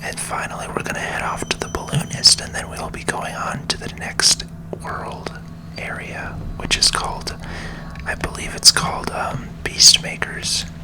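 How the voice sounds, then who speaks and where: A person giving gentle, unhurried ASMR video game commentary as host, in a breathy whisper. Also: rough recording. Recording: music behind the whisper. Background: video game music.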